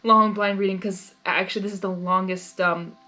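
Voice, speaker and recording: A woman reading fan fiction aloud as narrator, expressive and excitable, with casual reactions. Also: mid-range voice, normal speed, soft background music.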